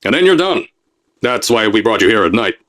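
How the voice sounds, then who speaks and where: Brash boastful company boss, barking pre-recorded announcements for a game. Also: male voice, on the low side, very animated, a little fast. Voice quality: loud, boastful salesman bluster. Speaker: voice actor in a game mod.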